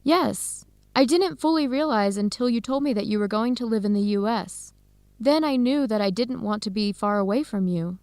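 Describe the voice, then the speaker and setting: An actress playing a warm-hearted young female student, speaking gently and clearly in a radio drama for English learners. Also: fairly high pitch, moderate pace, no echo, natural voice. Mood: tender, earnest. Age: young adult.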